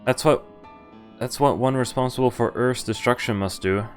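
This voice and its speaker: A man's voice: medium pitch, moderate pace. A man streaming a game, reading its story text aloud in an informal way. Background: game music.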